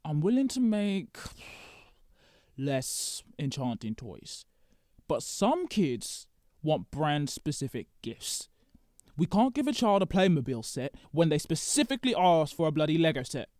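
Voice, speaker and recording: A man's voice: a little high, big pitch swings, unhurried, a little mellow, dry recording, studio quality. A man playing Santa as a sheepish, stubborn boss in a scripted comedic audio drama, hesitant and defensive.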